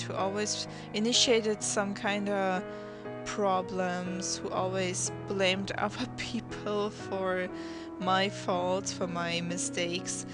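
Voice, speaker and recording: Young woman, Russian native, halting voice-over narration, mid pitch, music bed behind. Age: teens. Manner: hesitant, many restarts and fillers. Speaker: channel host. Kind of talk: draw my life voice-over narration.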